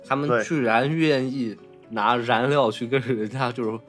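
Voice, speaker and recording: A male podcast host chats about film over faint background music. His voice sits at a middling pitch. He is colloquial and enthusiastic, often circling back and repeating his words. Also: a little slow, fairly bright tone. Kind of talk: casual film discussion.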